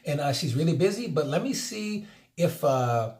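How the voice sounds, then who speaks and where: A man's voice: on the high side, normal speed. A man teaching network-marketing sales, talking casually and acting out phone conversations with energy. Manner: energetic, acts out phone scripts, many restarts. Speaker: MLM sales trainer. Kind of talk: casual instructional talk.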